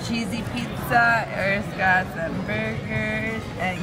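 A woman's voice: mid-range voice, steady tone, slow pace. A woman in her twenties casually narrating a family dinner vlog to her phone, talking to viewers and minding her kids. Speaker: vlogger. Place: busy indoor restaurant. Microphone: phone at arm's length. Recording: loud crowd and music behind. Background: restaurant chatter and music.

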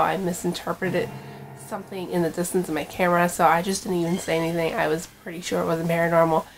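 Casting note: young female vlogger with a low voice, casual and chatty, faint music underneath. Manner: chatty, retelling events with frequent "like" and "I'm like". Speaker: paranormal vlogger. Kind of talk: casual vlog talk to camera. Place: bedroom indoors. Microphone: camera facing her at desk distance.

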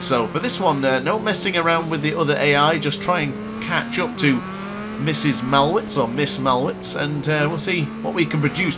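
A young man with a cold, brisk and congested, commentating a motorbike race in a game. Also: a little high. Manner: brisk commentator-style narration, self-correcting. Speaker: gaming commentator. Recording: loud game music behind.

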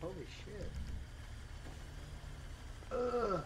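High-voiced man on noisy game chat. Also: drawn-out words, a bit bright. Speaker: gaming live streamer.

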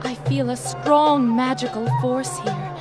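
A gentle formal young genie. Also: female voice, high-pitched, steady tone, measured pace. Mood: earnest. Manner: formal, earnest, old-fashioned speech. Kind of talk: video game cutscene voice acting. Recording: game music behind.

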